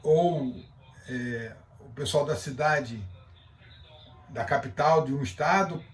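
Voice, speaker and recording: A man with a Brazilian accent giving an explanatory class, speaking haltingly as he searches for words and reads loosely from notes. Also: medium pitch. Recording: distant from the microphone.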